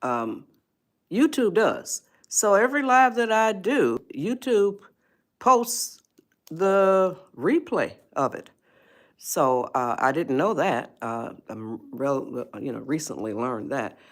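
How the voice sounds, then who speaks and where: A woman's voice: deep voice, big pitch swings, slow. A woman of eighty-one, hosting a casual live stream, chatty and rambling, often laughing and greeting viewers. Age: 80s. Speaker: livestream host.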